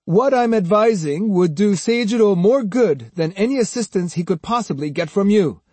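A man acts out an arrogant young swordsman in a dramatised story. The voice sits high and swings widely, with a husky grain. He is pompous and sneering, leaning hard on his points. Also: expressive, normal speed, darkish tone, no room sound. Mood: grand, smug. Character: arrogant, grandly boastful, snide young male swordsman.

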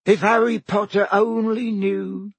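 A man voicing a timid, anxious male house elf servant who pleads in a high voice and calls everyone sir. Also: little pitch movement, measured pace, creaky, husky, dry recording, exaggerated voice. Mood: fervent. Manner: servile, high-pitched pleading, many "sir"s.

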